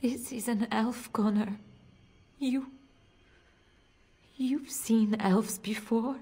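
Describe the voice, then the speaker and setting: A woman voicing a frightened noblewoman and mother in a fantasy game, halting, anxious and pleading. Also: on the high side, fairly even intonation, warm tone, breathy edge, exaggerated voice. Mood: fearful pleading. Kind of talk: fantasy video game voice acting.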